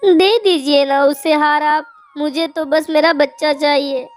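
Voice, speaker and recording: A very high, whiny female bird from a children's cartoon, put on by a male narrator. She sounds like an insistent wife, with music faintly behind her. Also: fairly even intonation, a little slow. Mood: pleading. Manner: whining, demanding.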